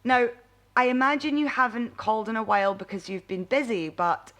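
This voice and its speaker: A woman voicing a huge, kindly older male mentor with a calm, matter-of-fact manner in a bedtime story. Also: fairly high pitch, brisk. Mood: warm.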